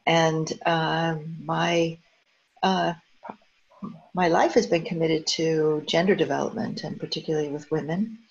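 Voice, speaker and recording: A woman's voice: low voice, fairly even intonation, a little slow, dull audio. An American woman introducing herself earnestly on a video call, searching for words as she goes. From United States. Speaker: founder of a dialogue organization.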